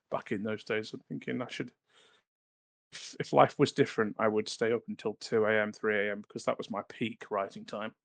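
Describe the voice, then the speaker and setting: A man co-hosting a casual writing podcast, telling rambling anecdotes with many pauses and fillers. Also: mid-range voice, gravelly, a bit bright, dry recording. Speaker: podcast co-host.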